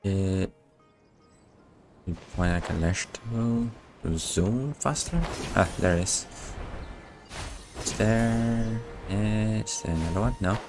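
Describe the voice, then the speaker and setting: A man's voice: on the low side, slow, pauses often. A man giving casual, unscripted live gameplay commentary as a streamer, muttering to himself. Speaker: game streamer. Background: faint game music.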